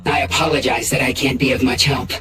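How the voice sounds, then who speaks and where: An actress voicing a polite, apologetic former fighter in a fantasy game, speaking in a courteous, measured way. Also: female voice, rumbling low, level tone, fairly bright tone, faint music behind. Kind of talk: English video game voice acting. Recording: roomy echo on the voice.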